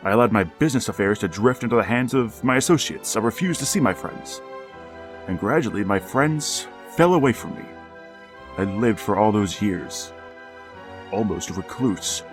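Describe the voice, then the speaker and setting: An actor playing a lonely, embittered widowed father who speaks in a measured, formal, brooding way. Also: fairly low pitch, lightly acted. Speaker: narrator of the story. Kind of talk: 1940s radio drama narration.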